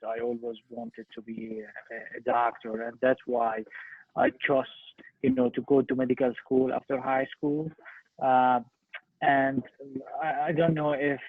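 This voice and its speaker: A young man with a Syrian Arabic accent answering interview questions as a physician, with husky, halting speech and repeated words. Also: mid-range voice. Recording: muffled phone-like internet call, echoey room.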